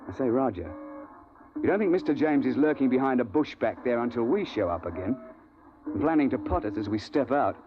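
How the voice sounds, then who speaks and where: An actor playing a seasoned big-game hunting guide who also narrates, in a 1950s radio drama, clipped and dryly ironic. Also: male voice, mid-range voice, lively intonation, a little fast, noisy background, lightly acted. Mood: wry. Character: seasoned male time-travel big-game hunting guide, dry and unflappable. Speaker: narrator and lead character. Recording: dull old radio recording with music.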